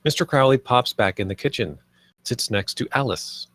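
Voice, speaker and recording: A man's voice: mid-range voice, expressive, normal speed, fairly bright tone, no room sound. A man reading out stage directions and scene headings as narrator at a film table read.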